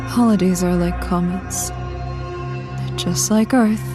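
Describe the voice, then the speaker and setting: A woman narrating an audio drama in a hushed, half-whispered, wistful way. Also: on the low side, slow, over music.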